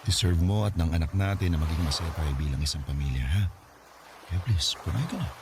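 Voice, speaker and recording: A man's voice: low-pitched, lively intonation, a little fast, noisy background. A Filipino man acting a devoted, earnest and playful fiancé in a radio drama. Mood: earnest, pleading.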